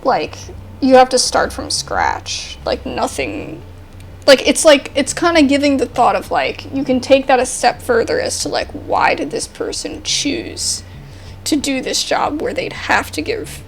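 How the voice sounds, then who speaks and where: High, lively young woman gaming. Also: measured pace, gravelly, slight background noise. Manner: expressive, informal. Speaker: gaming video host. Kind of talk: casual game commentary and dramatic reading aloud.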